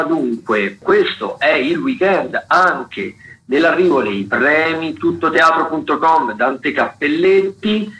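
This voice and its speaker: A man's voice: medium pitch, fairly bright tone, slight background noise, poor audio. A man hosting a radio show, running informally through event listings in a hesitant, digressive way. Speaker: radio host presenting theatre listings.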